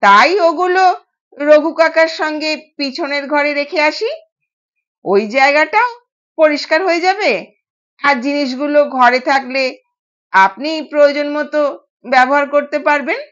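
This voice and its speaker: Woman acting a gentle, high-voiced young bride. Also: measured pace, pauses often. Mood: persuasive. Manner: polite, soothing. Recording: dull, lo-fi sound.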